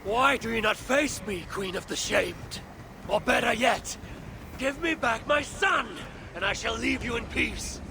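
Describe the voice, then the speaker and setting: An actor playing a proud, stubborn old Norse god-king in a video game, gravelly and theatrical in his grand declaiming. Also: very high-pitched, unhurried, noisy background, heavily acted. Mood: challenging, resolute. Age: older. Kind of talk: dramatic game cutscene acting.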